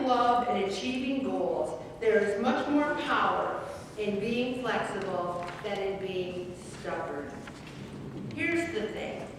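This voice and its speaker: Woman in her 70s, fairly high voice, chatty anecdotal talk, distant mic in a reverberant hall. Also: a little hiss or hum. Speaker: weight loss group leader. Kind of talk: faith-based motivational talk to a group. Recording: echoey hall, distant mic, faint ambience.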